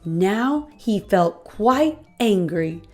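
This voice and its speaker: A woman narrating a children's picture book aloud, expressively, with deliberate emphasis on repeated phrases. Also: medium pitch, lively intonation, soft background music. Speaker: host reading children's books aloud.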